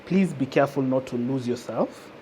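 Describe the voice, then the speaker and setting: A young Kenyan woman telling a personal story casually as a guest, expressive and switching between languages as she acts out remembered remarks. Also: extremely low pitch, moderate pace, a little hiss or hum. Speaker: podcast guest. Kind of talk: casual personal storytelling, mixing English and Swahili.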